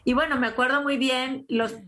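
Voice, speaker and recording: A Mexican woman co-hosting a podcast, telling lively anecdotes and laughing in casual chat. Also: sits high, normal speed. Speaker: podcast co-host.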